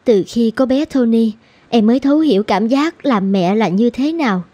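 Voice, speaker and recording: A woman's voice: fairly high pitch, expressive, normal speed, mellow, breathy edge, no room sound. A woman playing an earnest, emotive young nurse and mother, tender and sorrowful, in a cartoon drama. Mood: reflective, sad. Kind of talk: dramatic cartoon dubbing in Vietnamese.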